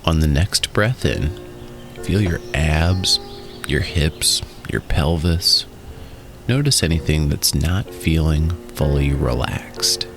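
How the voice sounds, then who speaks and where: A man narrating a guided relaxation in a soothing, instructional way, in short phrases with pauses. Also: a bass voice, expressive, creaky. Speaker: guided meditation host. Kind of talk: guided meditation and hypnosis narration. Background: music.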